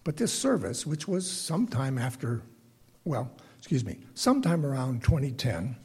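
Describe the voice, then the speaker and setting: Older man, very rough husky voice, soft dark tone, deliberate halting delivery, reflective lay sermon. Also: very animated. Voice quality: self-described bass voice. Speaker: congregation member giving a lay sermon. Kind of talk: reflective prepared talk to a congregation.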